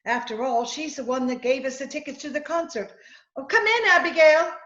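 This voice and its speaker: Radio comedy wife, played by a woman: high, animated, wry and long-suffering, on a muffled recording. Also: fairly quick, background noise, lightly acted. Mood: teasing, polite. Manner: wry, teasing, exasperated. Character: dry, long-suffering, sharp-tongued wife. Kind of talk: old-time radio comedy acting. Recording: roomy, muffled video-call recording.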